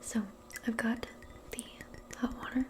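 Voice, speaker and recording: A woman speaking softly and breathily, often in a whisper, in a gentle, casual ASMR role play as a modern witch. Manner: unhurried, gentle, casual murmuring. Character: modern witch giving a calming spell appointment. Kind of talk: soft-spoken ASMR personal attention role play.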